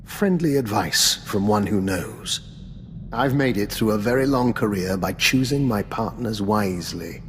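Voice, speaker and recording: Courteous, low-voiced old spy doctor. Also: male voice, lively intonation, unhurried, long reverb tail, a little hiss or hum. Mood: wistful, grave. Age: older. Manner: courteous, genteel, understated. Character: urbane, calculating old male doctor and veteran spy. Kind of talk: video game dialogue acting.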